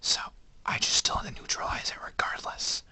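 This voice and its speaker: A man voicing a secretive male animatronic bear who talks only in a breathy whisper. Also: a little fast, bright, forceful tone, no echo. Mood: hushed.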